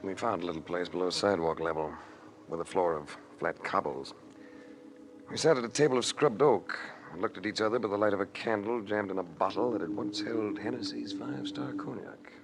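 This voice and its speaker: An American actor playing a suave, hard-boiled adventurer who narrates in a wry, clipped old radio detective style. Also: male voice, low voice, a little fast, over music, rough recording. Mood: wry narration. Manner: wry, smooth, clipped noir delivery. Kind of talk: 1940s-50s radio detective drama acting. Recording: hissy old-time radio recording.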